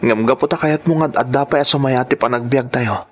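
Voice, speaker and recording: A man's voice: medium pitch, fairly quick, lightly acted. A man with an Ilocano accent playing a protective, dutiful husband in a radio drama, grave and insistent in delivery. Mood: resolute. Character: Rodel, protective, dutiful husband and tricycle driver.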